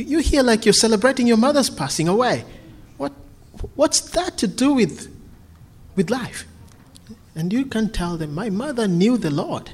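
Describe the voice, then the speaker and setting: A man's voice: high voice, husky. A man from Kenya, preaching earnestly and then answering questions, often repeating words as he restarts. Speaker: visiting preacher.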